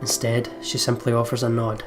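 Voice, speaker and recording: A man's voice: mid-range voice, completely flat delivery, a little fast, music in the background. A man hosting a let's play, reading the story aloud expressively and commenting casually.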